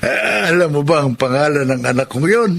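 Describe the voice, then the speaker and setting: A man acting a kindly, lonely old widowed grandfather with a Filipino accent and a halting, wistful manner in an audio drama. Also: fairly high pitch, expressive, creaky, a bit bright, full character voice. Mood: warm, reflective.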